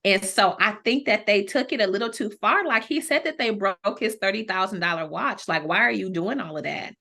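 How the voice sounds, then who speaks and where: A woman's voice: medium pitch, a little fast, bright, forceful tone. A woman in casual, opinionated podcast chat, speaking bluntly with slang and swearing. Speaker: podcast guest.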